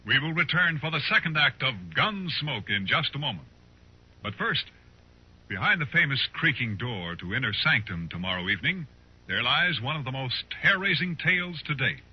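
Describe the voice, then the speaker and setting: 1950s network announcer, male: scripted promo read, swooping mid-pitched delivery, dull archival sound. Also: big pitch swings, normal speed, husky. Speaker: radio announcer. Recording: muffled, faintly noisy 1950s radio recording.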